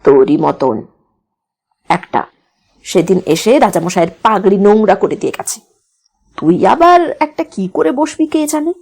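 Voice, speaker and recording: A stern palace doorkeeper from a fairy tale, acted by a woman narrator. The voice drops well below her normal storytelling pitch. The manner is scornful and threatening, like a guard issuing a warning. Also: lively intonation, measured pace, pauses often, a little mellow.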